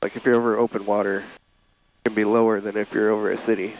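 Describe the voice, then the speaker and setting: A man's voice: on the low side, flat delivery, quick pace, low-quality recording. Man pilot chatting casually in flight. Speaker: private pilot and vlogger. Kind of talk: casual cockpit chat, explaining flying to a passenger. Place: inside a small airplane cockpit in flight.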